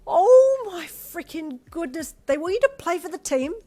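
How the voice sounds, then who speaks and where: A woman voicing a bubbly, excitable young girlfriend who squeals and gushes. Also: moderate pace. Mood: excited. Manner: high, squealing, gushing.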